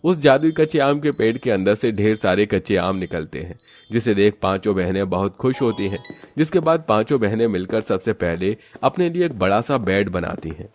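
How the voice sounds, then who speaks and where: A man's voice: on the low side, expressive, moderate pace, a little mellow, faint music behind. A man narrating a scripted moral story as its storyteller.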